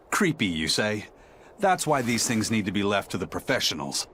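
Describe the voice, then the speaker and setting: An actor playing an enthusiastic antiques connoisseur adventurer in a video game, lecturing in a pompous, reverent way. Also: male voice, fairly low pitch, big pitch swings, a little slow, noisy background. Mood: awed, smug. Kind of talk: English-dub video game acting. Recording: loud music behind.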